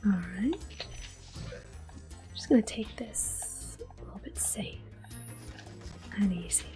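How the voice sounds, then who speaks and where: A woman whispers softly and breathily through a video game as an ASMR host. Her voice is dark and mellow, gentle and unhurried, with faint music behind it. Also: mid-range voice, lively intonation.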